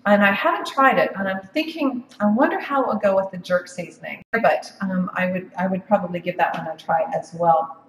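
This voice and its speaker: A woman's voice: mid-range voice, fairly quick, slight background noise. A woman giving a casual live cooking demonstration, chatty and full of ums and restarts. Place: home office kitchen. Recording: echoey room, from far off.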